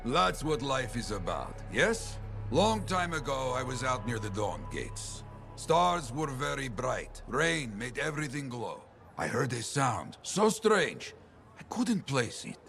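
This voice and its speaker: A man playing an earnest, solemn veteran adventurer, telling stories deliberately in a gravelly voice in a video game. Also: mid-range voice, very animated, fairly bright tone, soft background music, heavily acted. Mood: wistful storytelling. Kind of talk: dramatic video game cutscene acting.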